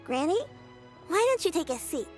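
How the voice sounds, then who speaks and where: A woman's voice: sits high, sing-song, moderate pace, darkish tone. A woman voicing a caring, cheerful young girl who looks after her grandmother in an English video game dub, polite and earnest. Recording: game audio with music underneath.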